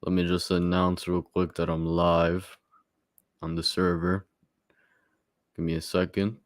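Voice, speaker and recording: A man's voice: low voice, flat delivery, dry recording, studio quality. A man hosting a live stream who talks with a minor stutter, repeating words and filling gaps with "uh" and "you know". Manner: halting, with repeated words and fillers. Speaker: stream host. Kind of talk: casual livestream commentary.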